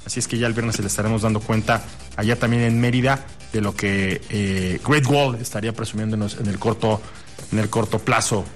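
A man's voice: fairly low pitch, steady tone, fairly quick. A man with a Mexican accent hosting a radio show in a courteous, chatty, conversational way. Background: music bed.

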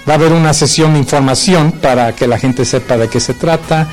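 A man's voice: on the high side, fairly quick, darkish tone, soft background music. A man, raised partly in the United States, explaining things informally as an interview guest. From born in Mexico, grew up in the United States. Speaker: interview guest, pipe band member.

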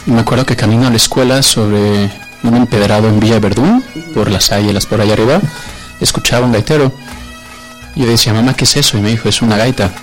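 A man's voice: on the low side, fairly even intonation, quick pace, faint music behind. A man guesting on a talk show, telling a story from his past in a reminiscing way. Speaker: bagpipe band guest.

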